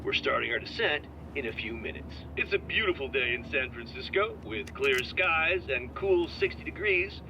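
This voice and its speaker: A man's voice: mid-range voice, expressive, moderate pace, few pauses, close to an everyday voice. A man voicing a courteous airline crew member in a video game, giving a rehearsed cabin announcement. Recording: dull cabin speaker, engine noise.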